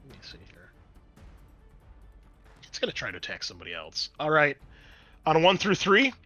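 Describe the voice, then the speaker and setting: A man acting as game master, narrating the action and explaining rules to players in an animated way. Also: fairly high pitch, normal speed, no room sound, dull audio. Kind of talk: narrating and refereeing a tabletop roleplaying game. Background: background music.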